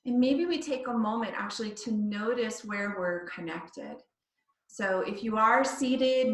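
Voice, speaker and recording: Woman guiding yoga in an echoey room. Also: a little high. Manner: instructing in short phrases with long pauses. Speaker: yoga teacher. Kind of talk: guided body scan meditation in a live online yoga class. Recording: echoey room, distant microphone.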